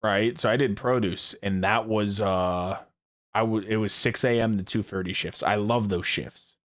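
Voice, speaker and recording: A man's voice: lowish voice, fairly even intonation, normal speed, a bit bright. A man in his early twenties hosting a casual chat with friends, rambling, repeating himself and swearing freely. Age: early 20s. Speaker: podcast host.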